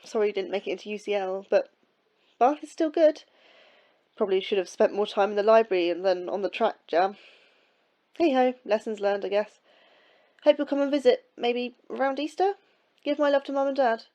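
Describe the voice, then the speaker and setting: An actress with a British accent playing an ambitious young astrobiologist in a sci-fi audio drama, earnest and slightly superior. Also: female voice, a little high, fairly quick, pauses often, mellow, close to an everyday voice. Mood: awkward, condescending. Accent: British English. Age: young adult. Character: ambitious, self-assured, anxious young woman astrobiologist.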